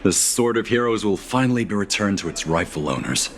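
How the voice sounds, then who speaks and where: A man acting a dignified, earnest delegate from a distant land in a game, with formal, deliberate speech. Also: fairly low pitch, lively intonation, noisy background. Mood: pleased, earnest. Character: dignified, earnest male foreign delegate from afar. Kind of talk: scripted game dialogue acting.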